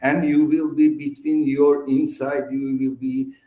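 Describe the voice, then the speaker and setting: A man from Iran with an Iranian accent, a native Persian speaker giving interview answers in halting, broken English. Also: mid-range voice, flat delivery, dark tone. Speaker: interview guest. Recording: roomy echo.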